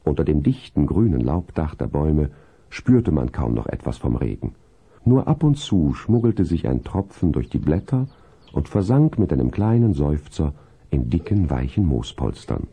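A man playing the storyteller of a children's horse tale, with a gravelly, husky voice and scripted storybook phrasing. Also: extremely low pitch, sing-song, normal speed, warm tone, full character voice. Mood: calm, atmospheric. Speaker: narrator.

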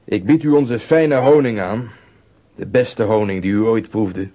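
An actor playing a polite, steadfast young traveller in a radio drama, courteous, measured and firm. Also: male voice, fairly low pitch. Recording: dull, lo-fi recording.

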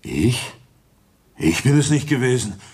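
Defensive young crime suspect, rough husky voice, mid-pitched, emphatic, agitated, radio drama. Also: male voice, very animated, a little slow, a little mellow, heavily acted. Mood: defensive protest. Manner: protesting, emphatic. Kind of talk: German radio play acting.

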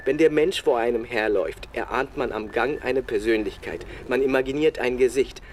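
An actor playing a sober reporter who narrates a radio feature in terse, deliberate sentences. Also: male voice, medium pitch, lightly acted. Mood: sober, bleak. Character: sober male reporter recounting a bleak field search.

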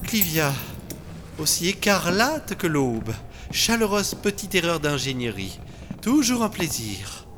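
A man's voice: on the high side, big pitch swings, takes it slowly, a bit bright, slight background noise, full character voice. A man playing a delirious, frail survivor in a science-fiction audio drama, with a theatrical, rambling, mocking and mystical manner. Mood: wry, gallant. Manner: theatrical, rambling, swinging between laughs and wails.

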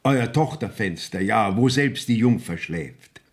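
An actor playing a courteous, probing court inspector in a radio play, speaking measured, formal verse with a husky voice. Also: male voice, fairly low pitch, lively intonation, heavily acted. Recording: 1970s radio drama studio recording.

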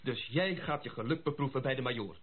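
An actor playing a wry, cautioning gentleman friend in a Dutch radio play, speaking in measured, formal, old-fashioned phrases. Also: mid-range voice, close to an everyday voice. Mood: amused, cordial. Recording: muffled, phone-like old radio recording.

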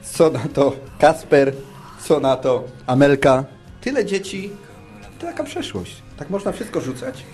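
A man's voice: a little high, sing-song, unhurried, a little mellow, soft background music. A man playing a reluctant, exasperated lover in an amateur comic podcast drama, moralising through insistent repeated questions. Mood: exasperated, pleading.

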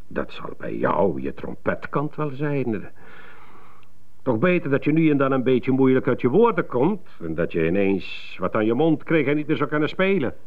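An actor in a radio drama playing a paternal, patronising yet encouraging prison counsellor, musing and coaxing with little questions. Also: male voice, medium pitch. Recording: thin, phone-like narrow band.

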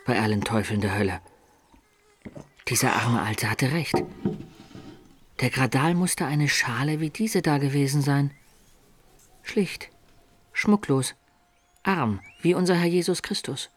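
An actor playing a middle-aged adventurer who recounts his life in measured, literary radio drama narration. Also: medium pitch, expressive, slightly rough, no room sound. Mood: awed.

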